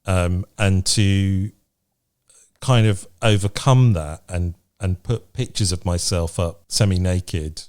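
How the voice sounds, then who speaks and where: A man's voice: deep voice, slow, a little mellow. A man co-hosting a casual advice podcast, chatting candidly and conversationally and reading out listener questions. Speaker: podcast co-host. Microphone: remote recording from separate location.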